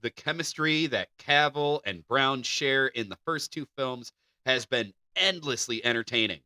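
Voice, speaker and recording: A man's voice: medium pitch, expressive, unhurried, pushed and bright, no room sound. A man co-hosting a podcast, moving between reading entertainment news and casual banter. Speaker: podcast co-host.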